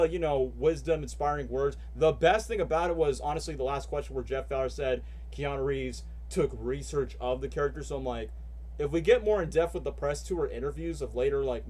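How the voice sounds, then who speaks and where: A man's voice: medium pitch, a little mellow. A man ranting and chatting casually as a live streamer before reacting to cutscenes, rambling with lots of fillers. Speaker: streamer and voice actor.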